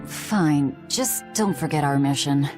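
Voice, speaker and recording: A woman playing a cold, guarded young fighter in an anime game dub, blunt and deadpan. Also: sits low, slow, over music. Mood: businesslike.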